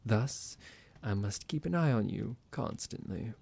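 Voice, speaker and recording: A man voicing a possessive, condescending vampire doctor in an ASMR roleplay, speaking in a measured, formal, menacing and softly commanding way. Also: fairly low pitch, lively intonation, creaky, breathy edge, dry recording, full character voice. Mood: menacing, teasing.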